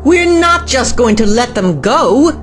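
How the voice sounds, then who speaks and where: A woman's voice: a little high, very animated, unhurried, soft background music. A woman playing a secretive, defiant scientist and project head in an audio drama, stern and dismissive.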